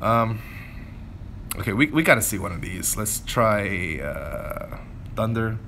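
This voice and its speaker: A man's voice: lowish voice, expressive, takes it slowly, gravelly, bright, forceful tone, slight background noise. A man giving casual gameplay commentary, informally thinking aloud as he plays. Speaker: gameplay video creator.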